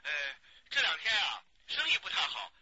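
An actor playing a timid, frightened son in a radio drama, stammering and evasive. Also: male voice, sits high, sing-song, normal speed, noisy background. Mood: nervous, covering up. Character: frightened, timid son hiding trouble from his father. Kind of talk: Chinese radio drama acting. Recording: dull audio under loud music.

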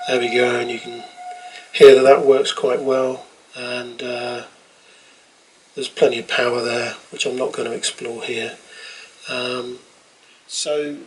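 A middle-aged man talks casually through a product demonstration. His delivery is offhand and halting, at an ordinary pitch. The recording has clearly audible background noise. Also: poor audio. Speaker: presenter demonstrating portable PA equipment. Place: small wooden cabin with doors open. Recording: clear background noise, open-room ambience.

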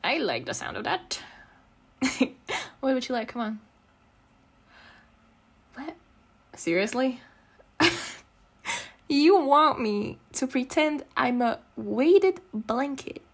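Breathy, high-voiced giggly girlfriend, acted by a woman. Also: very animated, slow, long pauses, full character voice. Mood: fond, teasing. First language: not English. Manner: giggly, teasing, rambling with pet names. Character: giggly, teasing, affectionate gamer girlfriend comforting her partner. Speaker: ASMR roleplay performer. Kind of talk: intimate girlfriend roleplay ASMR, casual improvised-sounding chat.

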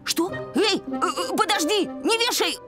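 A woman's voice: very high-pitched, expressive, a little slow. Cartoon young boy: whiny, boastful football fan, wildly swooping delivery, music underneath. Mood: agitated. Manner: whiny, pleading, emphatic. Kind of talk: animated cartoon voice acting.

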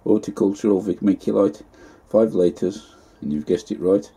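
A man's voice: on the low side, fairly even intonation, moderate pace, warm tone, breathy edge. Homely man in his fifties. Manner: chatty, homely, plain-spoken. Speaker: allotment vlogger. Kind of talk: casual gardening vlog narration. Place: inside a greenhouse on an allotment.

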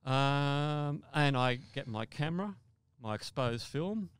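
A man's voice: mid-range voice, lively intonation, slow pace, smooth, dry recording, clean studio sound. An older man telling a first-person story conversationally as a podcast guest, often repeating and rephrasing his words.

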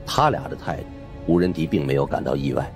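A man's voice: rumbling low, expressive, fast, gravelly, faint music behind. A man narrating a supernatural suspense audiobook in a dramatic, scripted style.

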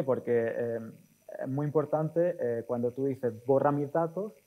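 A man in his forties speaking as a guest in an on-stage interview, thinking aloud haltingly and often checking with short tag questions. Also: medium pitch, lo-fi sound. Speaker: guest speaker, technology executive. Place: auditorium stage. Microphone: lapel mic.

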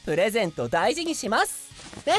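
A woman voicing a cheerful, affectionate young male office junior in an anime-style voice drama, polite, playful and expressive. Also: mid-range voice, fairly quick, soft background music, lightly acted. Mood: cheerful, grateful.

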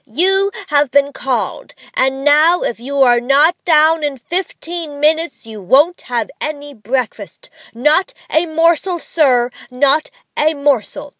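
A woman's voice: high voice, expressive, takes it slowly, hardly any pauses, fairly bright tone, dry recording, pitched above the performer's own voice, exaggerated voice. A woman voicing a little boy who mimics a stern father, pompous and emphatic as he scolds.

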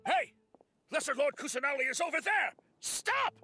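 An actor playing a stern male guard captain who barks orders and shouts. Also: extremely high pitch, very animated, moderate pace, soft background music, full character voice. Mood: shouting alarmed.

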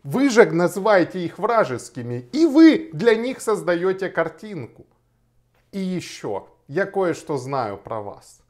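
A man's voice: high voice, big pitch swings, measured pace. A man in his thirties from Kharkiv, Ukraine, a lawyer speaking straight to camera in an emphatic, argumentative way. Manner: emphatic, argumentative, gestures while talking. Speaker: lawyer and blogger. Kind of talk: direct-to-camera video reply. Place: small indoor room, plain wall.

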